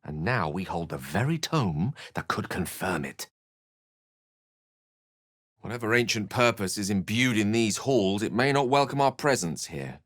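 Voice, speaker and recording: An actor playing an eloquent, theatrical wizard in a fantasy video game, learned, courtly and wry, with articulate, bookish phrasing. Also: male voice, fairly low pitch, animated, measured pace, fairly bright tone, dry recording, studio quality. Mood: grave.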